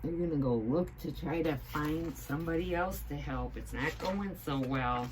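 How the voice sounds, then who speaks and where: A woman's voice: deep voice, moderate pace, background noise. A woman teaching assistant reading a picture book aloud expressively, with teacherly asides.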